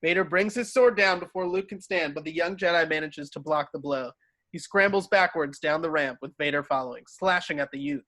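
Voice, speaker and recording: A man's voice: on the high side, fairly quick, pushed and bright, no echo. A man narrating scripted stage directions for a table read.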